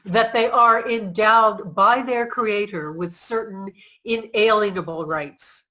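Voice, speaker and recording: A woman's voice: medium pitch, takes it slowly, sharp tone, rough recording. A woman playing a zealous, firm nineteenth-century women's rights campaigner in a formal reader's theater recitation.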